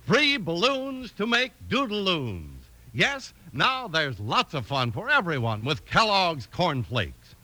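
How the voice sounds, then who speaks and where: A man's voice: sits high, wildly swooping pitch, takes it slowly, hardly any pauses, bright, forceful tone, faint music behind. A man with an American accent announcing in an emphatic, scripted 1950s radio style. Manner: emphatic, salesmanlike.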